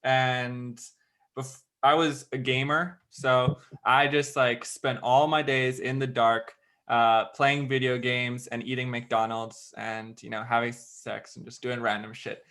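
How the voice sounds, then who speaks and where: A man's voice: medium pitch, level tone, takes it slowly, sharp tone. A young man co-hosting a podcast, chatting casually with lots of "like" and easy swearing. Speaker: podcast co-host.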